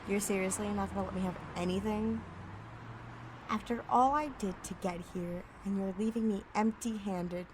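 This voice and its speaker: A woman's voice: mid-range voice, fairly even intonation, normal speed, noisy background, close to an everyday voice. A woman playing a cocky, wisecracking outlaw thief with a sassy, sarcastic, foul-mouthed delivery in an ASMR roleplay audio drama. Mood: sulky, teasing.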